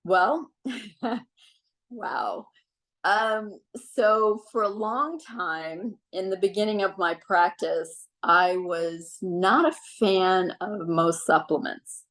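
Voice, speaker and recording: A middle-aged woman giving casual health advice on a video call, relaxed and chatty with frequent ums. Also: mid-range voice, very slow. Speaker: wellness speaker.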